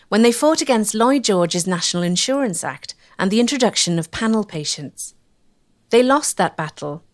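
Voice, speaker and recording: A woman, delivering scripted documentary narration. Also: medium pitch.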